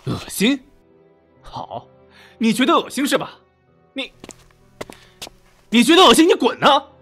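A man's voice: very high-pitched, big pitch swings, a little slow, a bit bright, soft background music, full character voice. An actor playing a doting, lovestruck young man in an audio drama, indulgent and emotional. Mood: hurt, furious.

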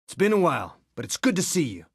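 An actor playing a cocky, friendly young resistance pilot in a video game, breezy and wisecracking. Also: male voice, a little high, very animated, quick pace, slightly rough, dry recording, studio quality, full character voice. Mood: warm, friendly. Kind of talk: video game character voice clips.

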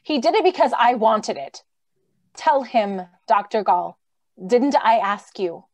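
Radio play: woman as young idealist, slightly high voice, imploring, theatrical, closely dry recording. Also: animated, measured pace, a little mellow, no echo. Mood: insistent. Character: earnest, pleading idealistic young wife. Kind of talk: old-time radio drama acting. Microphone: video call.

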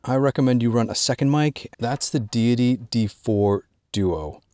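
A man presenting an informal instructional product walkthrough, drawing out key words in a measured way for emphasis. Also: mid-range voice, a little mellow, dry recording. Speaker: gear tutorial presenter.

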